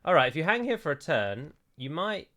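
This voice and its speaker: A man's voice: on the high side, sing-song, fairly quick, fairly bright tone. A man giving casual gameplay commentary, chatty and self-mocking, with jokey asides. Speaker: let's play host.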